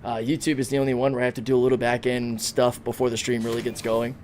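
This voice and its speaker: A man's voice: medium pitch, level tone, quick pace, a little mellow, a little hiss or hum. Chatty man in his thirties streaming a game. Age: 30s. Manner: chatty, reads out and answers chat comments. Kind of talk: casual livestream chat while gaming.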